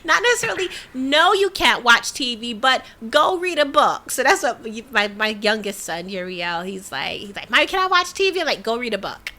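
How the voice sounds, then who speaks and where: A woman hosting a casual radio interview, talking in an animated, affirming way. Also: on the high side, moderate pace.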